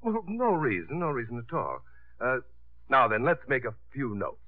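An actor playing a glib, flirtatious, slightly absent-minded artist in a 1950s radio comedy. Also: male voice, medium pitch, wildly swooping pitch, normal speed. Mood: mock businesslike. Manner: glib, teasing, bossy. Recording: dry, tinny 1950s radio broadcast.

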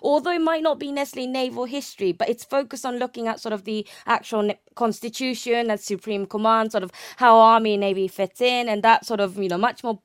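A woman's voice: a little high, steady tone, fast talker, few pauses, clear, resonant tone, dry recording. Young woman from Japan, academic interviewee, clean resonant voice, enthusiastic, hedging, dry close recording. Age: young adult. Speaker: academic guest, naval history researcher. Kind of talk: conversational interview explanation.

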